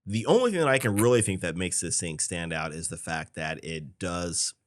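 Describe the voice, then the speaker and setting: A man's voice: deep voice, lively intonation, normal speed. A man co-hosting a casual podcast, talking through a film and backing up his partner with constant agreement. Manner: agreeable, backs up his co-host with constant yeahs. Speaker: podcast co-host. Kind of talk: casual film review chat.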